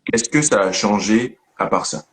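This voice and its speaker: A man's voice: lowish voice, expressive, moderate pace. A man hosting an online interview, asking questions and teasing his guest in a joking, familiar way. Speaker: host of a storytelling channel.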